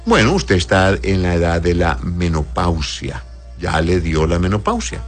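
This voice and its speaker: A man's voice: deep voice, animated, measured pace, faint music behind. A man speaking as a doctor hosting an advice show, conversational and avuncular, answering listeners with endearments and frequent checking tags. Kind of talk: conversational medical advice, reading messages aloud.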